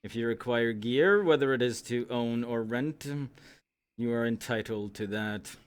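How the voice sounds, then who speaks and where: A man playing a stern, formal fantasy adventurers' supervisor in a roleplay, speaking deliberately with weighty pauses. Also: mid-range voice, clean tone, close to an everyday voice. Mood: businesslike. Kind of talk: improvised fantasy roleplay in character.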